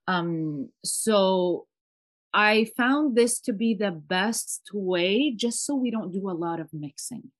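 A woman's voice: mid-range voice, animated, slow pace. A woman in her thirties, an earnest and chatty homeschooling mother, talking through her family's routine as a guest in a casual interview. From Palestinian family, raised in Canada. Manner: earnest, chatty, sprinkles Arabic phrases.

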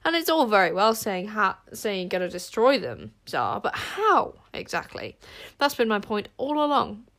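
A woman voicing a worried older male mentor in a storybook, speaking slowly and gravely. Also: mid-range voice, very animated, a bit bright. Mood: skeptical. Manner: slow, grave, moaning.

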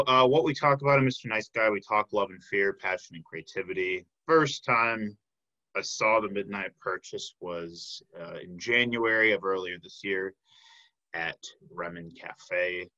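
A man's voice: low-pitched, sharp tone, dull audio. A man hosting a casual interview, chatting in a friendly, informal way. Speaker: podcast host.

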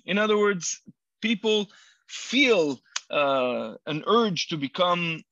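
A man's voice: high voice, animated, takes it slowly, pushed and bright, no room sound. A man whose first language is Hebrew, a rabbi answering interview questions in English with many fillers and restarts. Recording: dull lo-fi dry sound.

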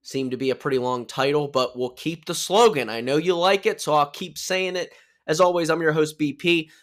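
A man's voice: on the high side, normal speed. Upbeat man hosting with a sore throat. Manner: upbeat, chatty, with restarts and self-corrections. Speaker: podcast host. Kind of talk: solo podcast explainer.

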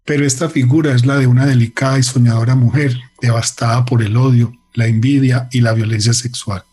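A man's voice: medium pitch, fairly even intonation, a little fast, darkish tone, soft background music. A man narrating a radio drama in a solemn, literary, dramatic manner.

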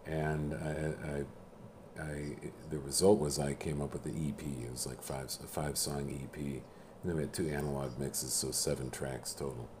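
A man in casual conversation about music, speaking haltingly with many restarts and a self-deprecating manner. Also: very deep voice, level tone, noisy background. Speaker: musician guest. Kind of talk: casual chat.

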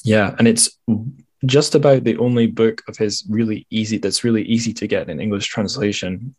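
Male podcast host from Scotland, low register, informal and chatty book talk with self-corrections. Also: fairly even intonation, normal speed, a little mellow, husky. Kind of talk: casual book discussion.

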